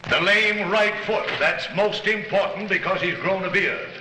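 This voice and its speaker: Higher-voiced dignified banker, echoey old film. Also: male voice, measured pace, over music. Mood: urgent, feigned shock. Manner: earnest, theatrical pleading and explaining. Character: scheming, pleading, dignified male banker. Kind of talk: 1930s crime film acting. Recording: noisy, echoey 1930s film soundtrack.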